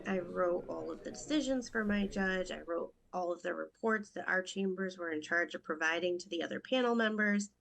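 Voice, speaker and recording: A woman's voice: fairly low pitch, vocal fry, a bit bright. A woman in her thirties from Rochester, New York, a judicial candidate talking earnestly and in detail in an interview. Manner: earnest, detailed, quick in stretches. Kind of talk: casual interview talk.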